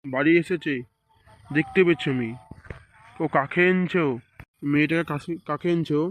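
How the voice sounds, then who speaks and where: A man's voice: fairly high pitch, moderate pace, slight background noise, natural voice, poor audio. A man voicing a middle-aged village elder in a comedy cartoon, nosy and indignant. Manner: questioning, indignant, repetitive. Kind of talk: comedy cartoon dubbing in colloquial village speech.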